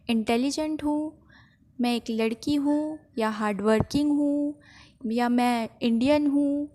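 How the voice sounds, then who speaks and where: High-voiced Indian woman teaching. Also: darkish tone. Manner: didactic, step-by-step explaining. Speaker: home science teacher. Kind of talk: explanatory school lesson narration.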